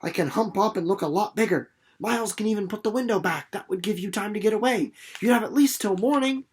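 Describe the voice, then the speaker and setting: A man's voice: sits high, quick pace, breathy edge, pitched above the performer's own voice. A man voicing an earnest, determined young girl from a storybook, speaking breathlessly and insistently. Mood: breathless, eager.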